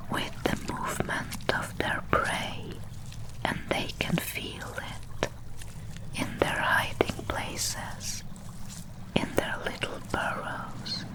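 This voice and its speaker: A tiny female will-o'-the-wisp, played by a woman, guides a traveler in a pure whisper. The delivery is extremely slow and deliberate, gentle and cautious, in the style of an ASMR fantasy roleplay. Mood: ominous, hushed. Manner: hushed, careful, deliberate phrasing, soothing guidance.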